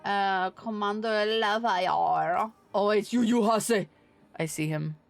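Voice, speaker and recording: A woman's voice: mid-range voice, slow, vocal fry, soft background music. A woman livestreaming a game with casual, playful, sweary commentary.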